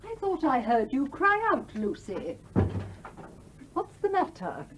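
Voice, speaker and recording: An actress in a radio play playing a bossy, self-pitying sister-in-law, patronising, fretful and easily affronted. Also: female voice, high voice, huge pitch swings, takes it slowly, noisy background, full character voice. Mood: patronising concern. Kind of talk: theatrical radio play acting.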